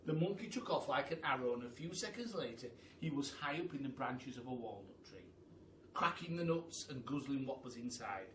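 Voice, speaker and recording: A man's voice: mid-range voice, expressive, normal speed, a bit bright, a little hiss or hum. A man reading a children's story aloud in the manner of a primary school storyteller. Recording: roomy echo, far from mic.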